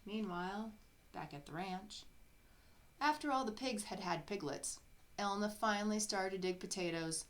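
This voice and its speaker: A woman reading a children's story aloud in an expressive storybook style. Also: mid-range voice, moderate pace. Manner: expressive, acts out characters' dialogue.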